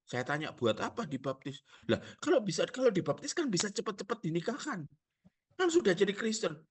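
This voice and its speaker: Male pastor, Javanese accent, lively preaching with jokes and questions, husky tone. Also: high-pitched, brisk. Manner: animated, rhetorical questions and jokes to the congregation. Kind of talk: sermon.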